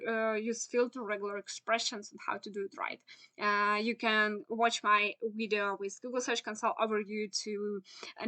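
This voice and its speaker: A young woman with a Ukrainian accent, a native Ukrainian speaker, explains a screen-share tutorial in English. Her voice sits fairly high and sounds bright and crisp. She pauses often on "uh" and phrases things in halting, non-native English. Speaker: SEO instructor.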